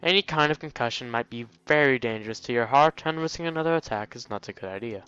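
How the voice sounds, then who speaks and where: A man's voice: medium pitch, quick pace, fairly bright tone, smooth, dry recording, dull audio, soft background music, lightly acted. A man voicing a friendly, chatty male school nurse who gives advice in a visual novel. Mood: advising, serious. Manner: friendly, advising. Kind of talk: visual novel dialogue read aloud.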